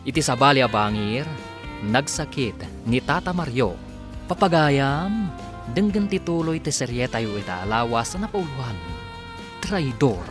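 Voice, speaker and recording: A male announcer with an Ilocano accent narrates a radio serial in a dramatic, scripted style. His delivery is highly animated and swooping, with clear music behind it.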